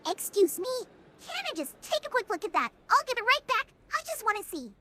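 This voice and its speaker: A woman's voice: extremely high pitch, very animated, brisk. A synthetic female voice playing a bubbly young cartoon pony detective in a fan audio drama. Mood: puzzled. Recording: clear background noise, clicks.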